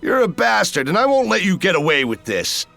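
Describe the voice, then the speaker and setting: A defiant sci-fi army major, talks back with scorn. The voice is gritty with fry, bright and lively in pitch, combative in the manner of a game hero. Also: male voice, a little fast, faint music behind, exaggerated voice. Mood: scornful, defiant. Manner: sarcastic, tough, combative. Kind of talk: video game acting.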